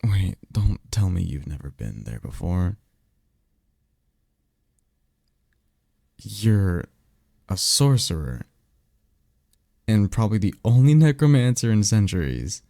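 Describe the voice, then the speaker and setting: A blunt, sarcastic male vampire mentor played by a man for an audio roleplay. The voice sits low with a crackly fry and moves around expressively. The delivery is casual and exasperated, with conversational hesitations. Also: sing-song, unhurried, warm tone, dry recording, studio quality, heavily acted. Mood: incredulous, exasperated. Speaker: voice actor. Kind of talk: ASMR roleplay audio drama, one-sided conversation.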